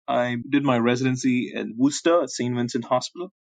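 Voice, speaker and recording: A man's voice: mid-range voice, a little fast, vocal fry, no echo. Polite man from southern India, dry sound. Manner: polite, formal greeting. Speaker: cardiology fellow, guest.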